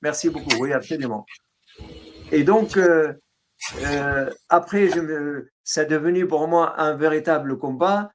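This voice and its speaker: Interview guest: middle-aged man, Arabic accent, slightly higher voice, halting, many fillers. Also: slight background noise. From Syria. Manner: halting, frequent fillers and restarts. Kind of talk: autobiographical interview.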